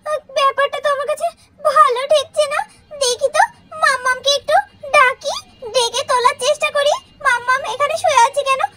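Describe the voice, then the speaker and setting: Squeaky, extremely high little girl. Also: steady tone, brisk, bright, forceful tone. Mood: worried. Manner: whining, pleading cries. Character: frightened little girl doll calling for her parents. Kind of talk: doll-play story acting. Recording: very noisy, hissy track.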